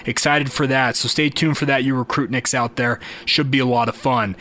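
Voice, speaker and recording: A man's voice: medium pitch, fairly even intonation, moderate pace, sharp tone. A man hosting a sports podcast solo, talking informally and directly to listeners in conversational commentary.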